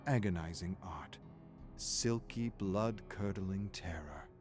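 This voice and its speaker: A man narrating a scripted video game story as a suave, sinister storyteller in measured, theatrical phrases. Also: fairly low pitch, darkish tone. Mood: brooding, sinister. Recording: music behind the narration. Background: music.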